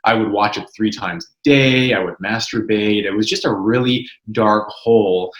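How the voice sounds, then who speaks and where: Earnest young Canadian man, lowish voice. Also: normal speed, fairly bright tone, reverberant, muffled recording. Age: 20s. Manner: earnest, frank, conversational. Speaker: ministry co-founder, guest. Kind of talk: personal testimony in interview.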